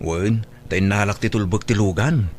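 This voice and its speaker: A man's voice: lowish voice, moderate pace, a little hiss or hum, close to an everyday voice. A man acting in an Ilocano radio drama as an easygoing household driver, with an Ilocano accent. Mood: casual. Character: easygoing male household driver, helpful and deferential. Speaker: drama actor.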